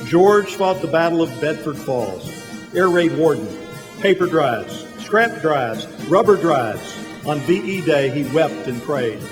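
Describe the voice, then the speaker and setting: An authoritative male heavenly narrator played by an actor tells a story in radio drama style. His voice sits a little high, with clear music underneath and the long reverb of a hall. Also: sing-song, measured pace. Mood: storytelling, solemn. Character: authoritative male heavenly senior angel recounting a life. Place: large church hall. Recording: echoey hall, music behind.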